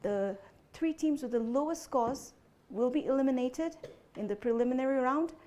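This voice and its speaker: A woman's voice: high voice, moderate pace, dark tone. A young adult woman hosting a student quiz, explaining the rules in a chatty way, often saying "you know" and checking that the teams follow. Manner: chatty, frequent "you know" fillers, checks understanding with "okay?". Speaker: quizmaster.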